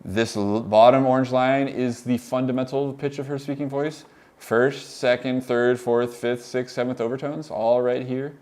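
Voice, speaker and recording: A young man with a Californian accent, casually demonstrating audio software on a live stream, rambling excitedly with lots of "like" and laughs. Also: mid-range voice, normal speed. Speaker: audio mentor.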